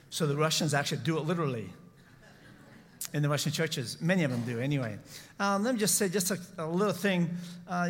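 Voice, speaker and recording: A middle-aged man speaks as a visiting missionary preacher at a church service. His talk is conversational and halting, with frequent self-corrections. The voice rings through a hall with long reverb. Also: fairly high pitch. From San Francisco, United States. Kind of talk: informal church talk with personal testimony. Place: large room, church service.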